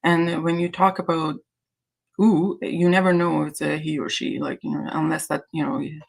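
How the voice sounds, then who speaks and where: A woman's voice: deep voice, level tone, moderate pace, gravelly. A woman from Iran whose native language is Azeri, hosting a casual livestream chat in a hesitant, rambling way. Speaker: livestream host.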